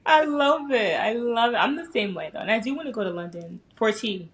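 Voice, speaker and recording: A woman's voice: on the high side, animated, fairly quick, a bit bright, a little hiss or hum. A woman with an American accent talking casually and informally as a guest in a podcast interview. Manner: informal, slangy. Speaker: podcast guest, small business owner.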